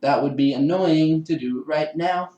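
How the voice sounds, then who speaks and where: A man's voice: fairly high pitch, a little slow, mellow, roomy sound, muffled recording, slight background noise. A man chatting casually with live-stream viewers while assembling a kit, laughing often and poking fun at himself. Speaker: livestreamer building a 3D printer kit. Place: home room with a garage downstairs.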